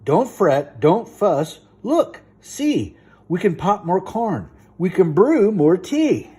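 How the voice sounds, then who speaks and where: A man voicing a small, gentle mouse in a storybook read aloud, with a raised, coaxing voice. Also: high voice, big pitch swings, slow pace, husky, pitched above the performer's own voice, full character voice. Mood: soothing. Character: timid little mouse, soothing friend.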